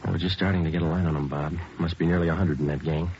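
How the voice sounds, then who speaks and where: Deadpan, deep police detective. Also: male voice, rapid-fire. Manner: clipped, flat, matter-of-fact. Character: terse police detective sergeant, all business. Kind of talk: 1940s radio acting. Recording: hissy muffled old radio broadcast.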